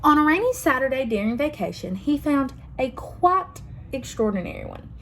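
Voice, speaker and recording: A young woman reading a picture book aloud to children, expressive and pausing to ask them questions. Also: high-pitched, slight background noise. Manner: expressive, asks listeners questions. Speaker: children's story reader.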